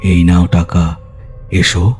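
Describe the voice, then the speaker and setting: A man voicing an eerie ghostly male stranger in an audio horror story, with a rasping, strained voice and slow, laboured demands. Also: low voice, level tone, a little mellow, soft background music. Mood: menacing, insistent.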